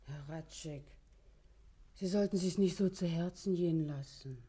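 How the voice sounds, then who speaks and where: An actress playing a practical, caring village housemaid in a German radio play, deferential and coaxing in manner. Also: female voice, lowish voice, a little slow, mellow, husky. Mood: consoling.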